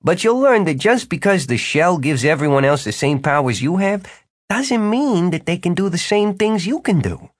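A man's voice: high voice, very animated, normal speed, dry recording, studio quality, voice pushed up. A man voicing a showy, self-assured wizard ruler in an audiobook, with an emphatic, theatrical salesman's delivery. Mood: smug, persuasive. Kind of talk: audiobook character dialogue.

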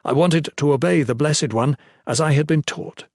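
A man's voice: a little high, normal speed, a little mellow, no echo, studio quality, voice pushed up. A man voicing a distressed, contrite young male protector who pleads in formal, courtly wording for a storybook. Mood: anguished pleading.

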